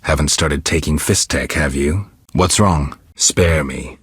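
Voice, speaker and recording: An actor playing a gruff, world-weary monster hunter in a video game, terse and deadpan, with a gravelly, husky voice. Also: male voice, slow, no echo, clean studio sound, exaggerated voice. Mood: dry, businesslike. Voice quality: very deep, gravelly, husky rasp. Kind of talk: video game dialogue acting.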